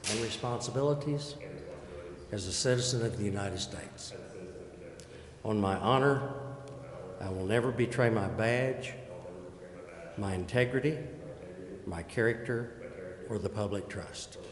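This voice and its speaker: Guy w/ low voice saying an oath real solemn, short phrases, big echoey chamber. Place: indoor city council chamber. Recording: echoey council chamber, long reverb.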